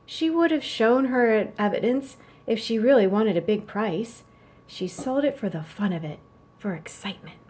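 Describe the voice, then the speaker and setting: A woman's voice: a little high, expressive, normal speed, a little mellow, husky. A woman reading as a thoughtful young girl in a children's storybook, an earnest older sister who reasons things out.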